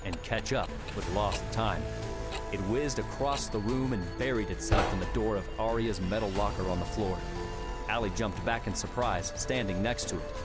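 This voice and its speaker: A man narrating an audiobook in a measured, dramatic way. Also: on the low side. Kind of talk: scripted narration. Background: music score.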